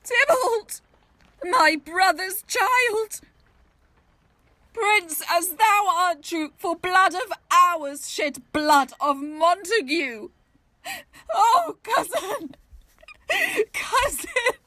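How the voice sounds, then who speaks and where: A woman's voice: very high voice, lively intonation, drawn-out words, pauses often, pushed and bright, no echo, heavily acted. A woman playing a grieving, vengeful noblewoman demanding justice, imperious and declamatory in a Shakespeare audio drama. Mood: distraught.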